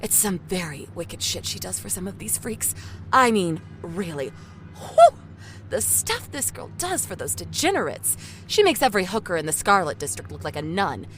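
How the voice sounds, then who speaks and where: A woman's voice: on the low side, sing-song, moderate pace, fairly bright tone, soft background music. A woman acting in an audio drama as a vain, foul-mouthed, ruthless TV executive, theatrical and sarcastic. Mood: scornful, gossipy.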